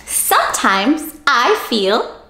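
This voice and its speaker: A woman's voice: fairly high pitch, very animated, drawn-out words, sharp tone, roomy sound, a little hiss or hum. A woman teacher hosting an interactive read-aloud for young children, with exaggerated, playful, child-directed delivery. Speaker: teacher and story-time host for young children.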